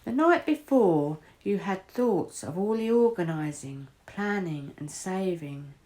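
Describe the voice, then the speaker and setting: Slow, low-voiced woman guiding a visualisation. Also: warm tone. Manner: measured, deliberate, with pauses between short phrases. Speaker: guided visualisation host. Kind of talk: guided meditation, scripted second-person narration.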